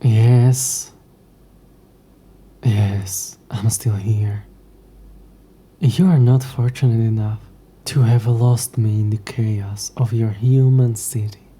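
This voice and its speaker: A man's voice: fairly low pitch, fairly even intonation, slow, pauses often, mellow, breathy edge. A man playing an ancient, possessive demon in an intimate audio roleplay, speaking to the listener in a formal, wry, murmuring way. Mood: teasing, sly. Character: ancient possessive male demon, sardonic, curious, teasing.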